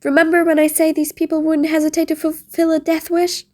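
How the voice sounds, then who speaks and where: A high-pitched female supervillain, acted by a woman for an audio roleplay. She is bossy and sardonic, mean yet patient, and her menace comes with a grudging fondness for the one she addresses. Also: completely flat delivery, a little fast, mellow, close to an everyday voice. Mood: stern warning.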